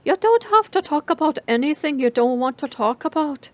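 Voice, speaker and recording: A woman's voice: high-pitched, expressive, moderate pace, no echo, voice pushed up. A woman puts on an upbeat young woman student for a storybook reading, her voice lifted well above an everyday register. She sounds caring and encouraging, with exclamatory cheer, on a slightly dull recording. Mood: gentle, reassuring. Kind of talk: dramatic audiobook reading.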